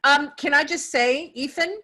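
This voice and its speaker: A woman hosts a table read, chatting casually with the cast. Her voice is bright and a bit high, and she speaks effusively. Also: moderate pace. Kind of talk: casual congratulatory talk to cast.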